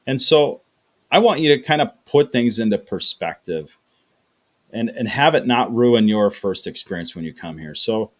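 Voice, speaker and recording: Chatty man hosting a livestream. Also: mid-range voice. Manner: chatty, repeats words, acts out quoted voices. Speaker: livestream host reading chat. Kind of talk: casual livestream chat.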